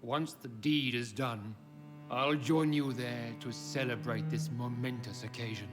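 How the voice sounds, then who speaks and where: A man's voice: mid-range voice, steady tone, unhurried, over music, close to an everyday voice. An actor playing a pompous aristocratic vampire lord in a game, chairing his club with grandiose, commanding formality. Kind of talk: dramatic game dialogue.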